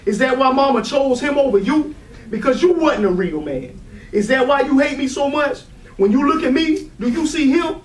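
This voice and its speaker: A man's voice: very high voice, expressive, normal speed. A man playing a hurt, defiant grown son who pleads and confronts in a staged play reading. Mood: wounded, taunting. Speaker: stage actor in a readers theatre. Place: live readers theatre room. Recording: roomy, distant mic with light noise.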